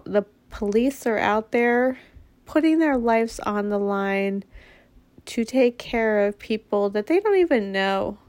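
Congested woman talking slowly and bluntly. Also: medium pitch, dry recording. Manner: blunt, frank, sprinkled with swearing. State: congested from a head cold, nasal, sniffling. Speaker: podcast host. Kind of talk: casual monologue.

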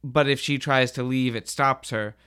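A man's voice: medium pitch, steady tone, normal speed, pushed and bright, smooth, no echo, studio quality. A man on a podcast panel talking casually about films in loose, informal phrasing. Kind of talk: casual film discussion.

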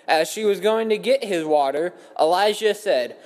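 A man's voice: high-pitched, animated, moderate pace, a little mellow. A man reading a Bible story aloud from a script as a dramatic narrative. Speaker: scripture reader. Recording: echoey hall with long reverb.

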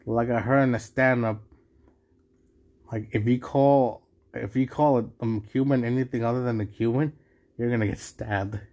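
Casual podcast host, man from Mexico, mid-pitched, loose and rambling. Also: fairly even intonation, normal speed, darkish tone, highs cut off. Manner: rambling, many restarts and asides. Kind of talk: casual solo podcast monologue.

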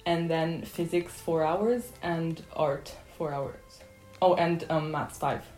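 A teenage girl whose first language is Italian talks through her answers in a casual, unhurried way, filling gaps with ums. Her voice sits a little low, and the room around her rings with echo. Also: fairly even intonation, darkish tone, faint music behind. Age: teens. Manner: hesitant, many ums, thinking aloud. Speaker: student guest. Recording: echoey room.

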